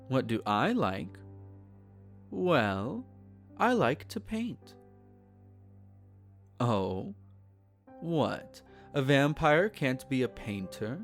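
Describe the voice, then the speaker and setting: A man's voice: on the high side, heavily acted. A man playing a possessive, teasing vampire in an intimate roleplay audio, speaking in formal, silky tones and courtly endearments. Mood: playful, teasing. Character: possessive, teasing, courtly male vampire, centenarian yet youthful. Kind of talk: intimate roleplay audio, scripted character acting.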